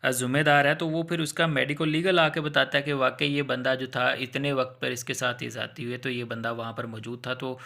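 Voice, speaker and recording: A man's voice: medium pitch, level tone, brisk, creaky, few pauses, pushed and bright. A man with a Pakistani accent giving an informal explanatory law lecture, going step by step with examples and mixing in English legal terms.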